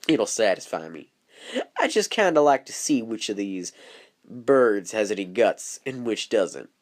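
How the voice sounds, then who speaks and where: A woman's voice: extremely low pitch, very animated, unhurried, darkish tone, voice pushed down, heavily acted. A woman voicing a brash, rebellious male psychiatric patient, folksy and defiant, in an amateur film. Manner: casual, cocky, needling. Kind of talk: scripted amateur film dialogue.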